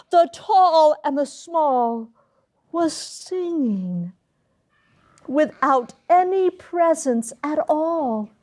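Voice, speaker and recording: A woman reading a children's story aloud in an expressive, theatrical way, with dramatic emphasis and long pauses. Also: sits high. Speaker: guest storyteller and academic dean. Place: elementary school classroom with children.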